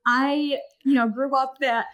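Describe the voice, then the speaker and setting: A woman in her thirties speaking as a podcast guest, her voice high and bright. She tells her story earnestly and with animation, often quoting her own past thoughts aloud. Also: little pitch movement, measured pace, muffled recording. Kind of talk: personal storytelling in conversation.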